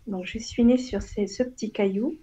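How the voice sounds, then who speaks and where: A woman's voice: medium pitch, fairly even intonation, normal speed, warm tone, breathy edge, low-quality recording. A woman in her thirties from Réunion, telling her personal story as a radio guest, hesitant with many fillers. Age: 30s.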